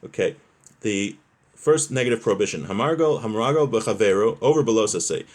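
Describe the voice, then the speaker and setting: A man's voice: lowish voice, expressive, brisk, a little mellow. A man giving an informal religious law lesson, explaining as he goes and using Hebrew terms and phrases. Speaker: rabbi teaching a religious law class. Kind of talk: informal lesson, reading a text aloud and explaining.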